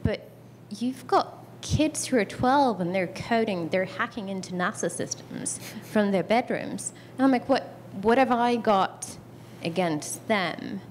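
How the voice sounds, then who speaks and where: A woman's voice: medium pitch, animated, measured pace, hardly any pauses, a little hiss or hum, poor audio. Chatty woman in her thirties. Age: 30s. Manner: chatty and self-deprecating, acts out her own reactions. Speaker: audience member asking a question. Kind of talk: question from the floor.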